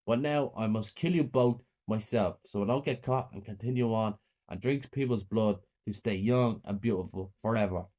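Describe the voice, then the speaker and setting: A man voicing a cruel, murderous mother who speaks in a menacing, gloating way, raising his pitch for her. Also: normal speed, warm tone, lightly acted.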